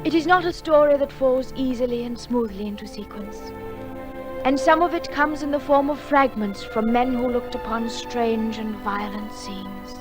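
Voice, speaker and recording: An actress playing a solemn storyteller, narrating a wartime legend in a measured, lyrical and reverent way. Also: female voice, a little high, lightly acted. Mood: solemn, wistful. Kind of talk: scripted dramatic narration. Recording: music behind the voice.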